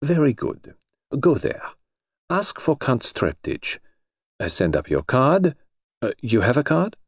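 A man voicing a brisk, mysterious foreign gentleman who speaks in crisp, clipped, formal phrases. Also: medium pitch, huge pitch swings, no room sound, studio quality, pitched above the performer's own voice, exaggerated voice.

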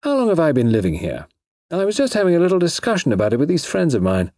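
A man voicing a nonchalant, self-assured gentleman writer in an audiobook, urbane, chatty and a little wheedling. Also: fairly high pitch, wildly swooping pitch, quick pace, no echo, clean studio sound, pitched above the performer's own voice. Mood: airily casual. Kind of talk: audiobook character voice.

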